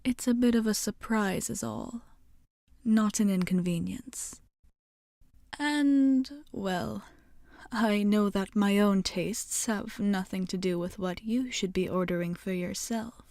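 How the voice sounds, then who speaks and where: A woman playing a courteous, coy vampire bartender in a soft-spoken ASMR roleplay, with measured formal phrasing and breathy, whispery endings. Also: mid-range voice, no echo, clean studio sound. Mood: polite, teasing. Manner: formal, measured, hesitant pauses, teasing politeness.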